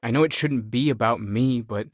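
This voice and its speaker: A man's voice: medium pitch, normal speed, no room sound, clean studio sound, lightly acted. A young man acting an earnest, curious, spiritually searching space explorer in a video game, thoughtful and sincere in his delivery. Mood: pensive.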